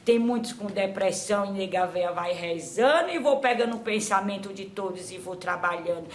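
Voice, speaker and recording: A woman's voice: medium pitch, natural voice. Woman mediuming a grandmother spirit. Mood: counseling. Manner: slow, repeats "my son", trailing off softly. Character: old grandmother spirit, patient and preachy. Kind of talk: spiritual counseling.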